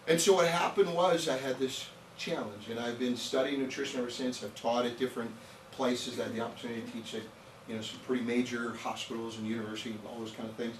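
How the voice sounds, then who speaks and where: A man's voice: medium pitch, fairly even intonation, quick pace, background noise. A man of about fifty giving an informal nutrition training to a live audience, chatty and joking, telling stories and throwing out rhetorical questions. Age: around 50. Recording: echoey room, voice picked up from a distance.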